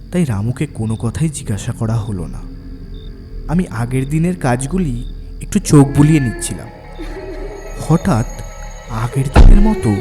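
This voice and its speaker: A man narrating a scripted first-person horror story in a suspenseful, dramatic way. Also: mid-range voice. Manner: suspenseful, expressive storytelling delivery. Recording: long reverb, faint music bed.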